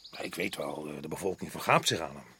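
Stubborn colonial official, noisy recording. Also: male voice, very deep voice, expressive, fast, creaky, pushed and bright, full character voice. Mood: dismissive. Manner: dismissive, opinionated. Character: stubborn, self-assured colonial resident official, beer-drinking husband. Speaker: radio play actor. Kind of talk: radio drama acting in Dutch. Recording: noisy old radio play recording.

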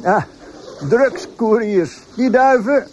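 A man's voice: very high voice, takes it slowly, background noise. An actor playing a defensive, exasperated man with dry wit, indignantly answering accusations in a radio play. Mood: indignant, sardonic. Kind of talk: radio drama acting.

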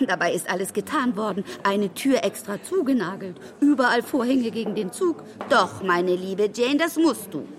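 An actress playing a fussy, effusive middle-aged spinster aunt in a German radio drama, gushing and repeating herself breathlessly. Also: female voice, mid-range voice, lively intonation, normal speed, few pauses, lightly acted. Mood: fussing, grateful. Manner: gushing, breathless, repetitive, exclaiming. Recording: reverberant hall with chattering crowd.

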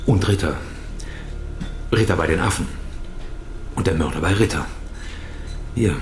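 An actor playing an uneasy, intuitive and scholarly profiler in a radio crime drama, speaking haltingly. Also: male voice, deep voice, animated, echoey room, faint music behind. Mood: grave, explaining.

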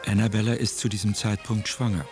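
A man giving factual, measured scripted historical narration as the narrator of a radio drama. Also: on the low side, fairly even intonation, over music.

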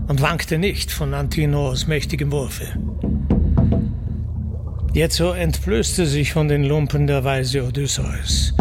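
A man reciting a classical epic in verse for a radio play, solemn and declamatory, as its narrator. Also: fairly high pitch. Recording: radio production with loud music underneath. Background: loud music.

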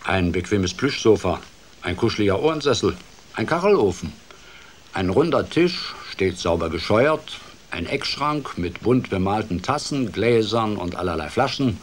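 An actor playing a playful storyteller who tells a children's fairy tale in an expressive, theatrical way for a radio drama. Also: male voice, on the low side, moderate pace, slight background noise, natural voice. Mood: cosy, amused.

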